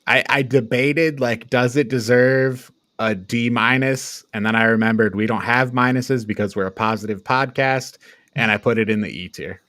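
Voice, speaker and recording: Male podcast host, lower-middle pitch, relaxed panel review chat, recorded dry. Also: moderate pace, no room sound.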